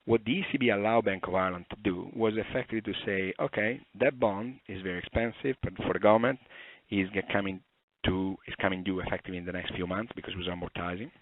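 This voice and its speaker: A man's voice: low voice, fairly even intonation, fast talker. A man presenting as a fund manager to investors on a conference call, explaining assertively with emphatic repetition. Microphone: conference call line. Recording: muffled phone line with roomy echo.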